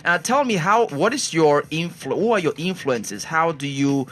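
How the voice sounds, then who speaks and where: A bright, fairly high-voiced man hosting a radio show from the studio. He keeps his guest talking with steady short affirmations and easy questions. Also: moderate pace, a little hiss or hum. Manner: encouraging, frequent backchannel.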